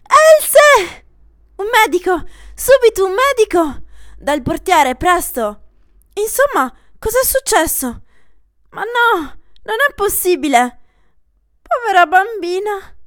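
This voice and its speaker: A woman voicing a fussy, panicky older aunt who keeps crying out loudly in alarm. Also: very high voice, big pitch swings, takes it slowly, dry recording, studio quality, voice pushed up, heavily acted. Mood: frantic. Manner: loud, frantic calling out.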